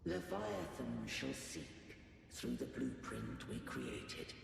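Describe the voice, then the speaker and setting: Ancient game guardian, heavy creak, stately archaic phrasing, solemn, echo-drenched. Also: male voice, mid-range voice, wildly swooping pitch, takes it slowly, exaggerated voice. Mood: solemn, foreboding. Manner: archaic, grave, stately speech. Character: ancient solemn male guardian of a fallen civilization. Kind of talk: dramatic video game voice acting. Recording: long echoing reverb, music underneath. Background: game music.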